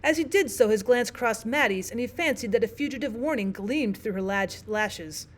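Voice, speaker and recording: Female teacher, audiobook narration, slightly high voice, steady reading. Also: moderate pace.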